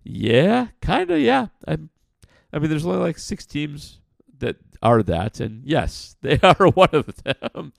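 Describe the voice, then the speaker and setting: A man hosting a casual podcast, answering listener questions in a blunt, frank way with lots of fillers; his voice sounds rough like sandpaper. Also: fairly high pitch, big pitch swings, slow pace, no echo, clean studio sound. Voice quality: gravelly, like sandpaper. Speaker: podcast host.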